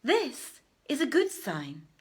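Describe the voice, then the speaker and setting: Royal vizier, voiced by a woman, patient counsellor, lifted pitch, slow emphatic encouragement, storybook. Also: wildly swooping pitch, slightly rough, exaggerated voice. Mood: encouraging. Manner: emphatic, exhorting. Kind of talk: storybook character reading.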